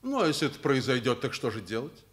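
An earnest, husky-voiced school headmaster. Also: male voice, mid-range voice, big pitch swings, fairly bright tone. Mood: firm, calm. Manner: deliberate, emphatic, pauses between phrases. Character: principled, fatherly male school headmaster, earnest and caring. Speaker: actor in a radio play. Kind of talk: Soviet radio drama acting.

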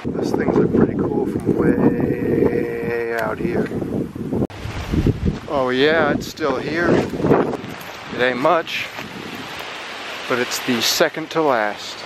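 A man narrating his own exploration of abandoned buildings in a dry, wry, deadpan way, filmed on a handheld camera. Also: fairly high pitch, drawn-out words, pauses often, very noisy. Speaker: urban explorer vlogger. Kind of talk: casual solo exploring commentary. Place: abandoned buildings and overgrown fields, indoors and outdoors.

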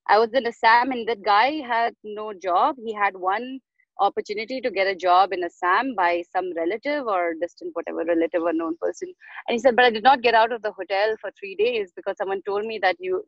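A thoughtful woman with a Delhi-raised Indian accent tells a story at an easy conversational pace, pausing often to find her words. Her voice comes over a video call, dry and close with a dull, muffled tone. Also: mid-range voice, dry recording. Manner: thoughtful, hesitant, many "uh" pauses. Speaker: panel guest, researcher.

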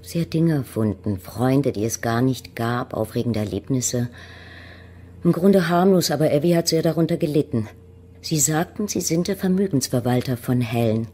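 Low, husky, wary mother. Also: expressive, moderate pace, mellow, full character voice. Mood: guarded. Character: reserved, wary middle-aged mother. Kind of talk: German radio play acting.

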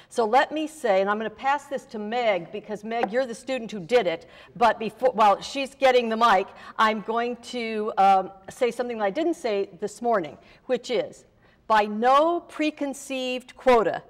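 Older lady at a lectern running a panel, lots of ums, big echoey auditorium. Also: mid-range voice. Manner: measured, with frequent fillers. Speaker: college dean moderating a panel. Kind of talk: moderating an open house panel. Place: large auditorium with stage. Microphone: lectern microphone. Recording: long reverb of a hall.

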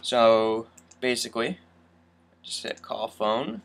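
A man's voice: mid-range voice, lively intonation, takes it slowly, gravelly, a bit bright. Young male tech vlogger, mid-range voice, informal walk-through delivery at his desk. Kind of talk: casual screen-recorded tutorial.

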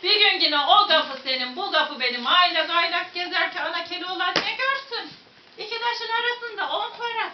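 A woman's voice: extremely high pitch, normal speed. A woman with a Central Anatolian accent telling a traditional folk tale to a live audience in a rhythmic, rhymed patter, talking directly to her listeners. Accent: Central Anatolian Turkish. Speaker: folk storyteller. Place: museum site with onlookers and photographers. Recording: distant, echoey, dull recording with faint noise.

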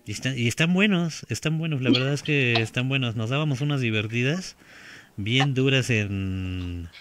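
A man's voice: mid-range voice, moderate pace, fairly bright tone, faint music behind. A man in his thirties streaming a game from a small home room on a headset, chatting casually, repeating himself and laughing often. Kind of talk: casual gaming stream chat.

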